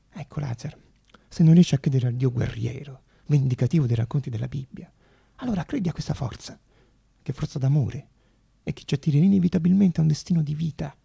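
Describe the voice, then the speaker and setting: A man playing a fervent, affectionate uncle who counsels his nephew in an exhorting theatrical monologue with emphatic pauses. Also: a little high, creaky, warm tone, husky, no room sound, studio quality, exaggerated voice. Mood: solemn, fervent.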